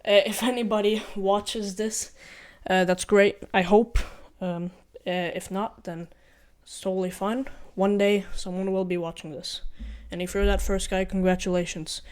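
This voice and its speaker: A man's voice: high-pitched, little pitch movement, moderate pace, few pauses, smooth. A young man from Ukraine with a mixed Ukrainian and Norwegian accent gives casual vlog advice. He talks in an earnest, rambling way, often correcting himself and repeating his points. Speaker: vlogger.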